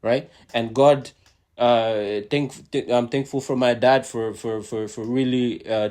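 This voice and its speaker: A man's voice: medium pitch, darkish tone. A man hosting a podcast who grew up speaking a language other than English or French. He talks in an earnest, casual way, repeats words often and keeps asking "right?". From immigrant to Canada. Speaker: podcast host.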